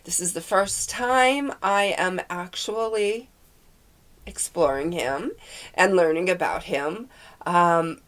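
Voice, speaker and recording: A woman's voice: fairly low pitch, slow, a bit bright. A woman with a cold and a congested, nasal voice, chatting and rambling about the books she is reading. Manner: rambling, chatty, full of fillers. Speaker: book vlogger. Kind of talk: casual chat about books.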